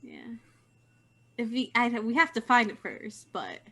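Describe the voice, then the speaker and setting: A woman at a tabletop game, joking and teasing the others in a crisp, bright voice that sits a little high. Her talk is loose and casual. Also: very animated, measured pace. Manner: playful, teasing.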